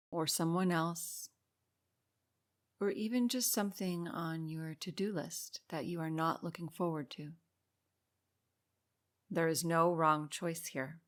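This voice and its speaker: A woman leading a guided meditation in a calm, soothing, unhurried way, pausing between short instructions. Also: low voice. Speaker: meditation guide and podcast host.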